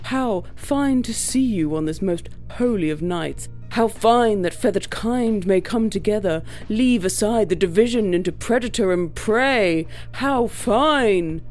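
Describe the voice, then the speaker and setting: A woman's voice: medium pitch, lively intonation, a little slow, few pauses, a little mellow, soft background music, close to an everyday voice. A woman voicing a pompous, grandiose king of the pigeons, speaking like an orator in a storybook reading. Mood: pompous, exultant. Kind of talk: storybook character voice.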